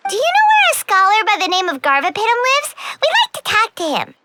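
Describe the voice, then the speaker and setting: A woman voicing a small, chirpy, childlike companion sprite in a video game, eager and blunt, with a squeaky, exaggerated delivery. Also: wildly swooping pitch, fast, heavy background noise, full character voice. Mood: chirpy, curious. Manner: bubbly, exaggerated. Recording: loud background music.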